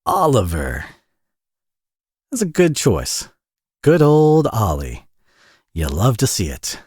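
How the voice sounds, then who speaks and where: A man acts out a worn-out Southern US single dad in an intimate audio roleplay. His low voice swings in pitch as he stumbles and rambles, friendly, folksy and quick to put himself down. The sound is dry and close. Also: wildly swooping pitch, a little mellow, slightly rough, no echo, full character voice. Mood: sheepish, friendly. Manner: folksy, rambling, stammering and self-deprecating.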